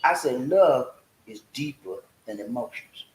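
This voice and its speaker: A man's voice: medium pitch, expressive, unhurried, crowd noise. A man, hosting a casual livestream chat in a folksy, preachy way. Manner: folksy, preachy, breaks into singing. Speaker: vlog host. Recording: echoey room with faint hiss.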